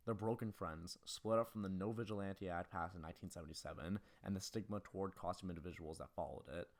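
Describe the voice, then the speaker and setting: Low voiced guy doing film essay narration, scripted, talks fast. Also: steady tone. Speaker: video essay host.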